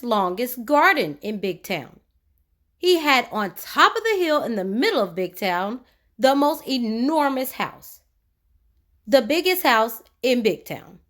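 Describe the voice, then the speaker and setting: A woman reading a children's story aloud expressively, with dramatic emphasis and drawn-out key words. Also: on the high side.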